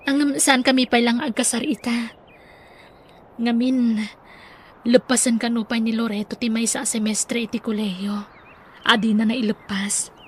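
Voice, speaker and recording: A woman's voice: on the high side, little pitch movement, a little slow, pauses often, husky. A woman playing an earnest, affectionate young sweetheart in a radio drama. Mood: earnest, hesitant.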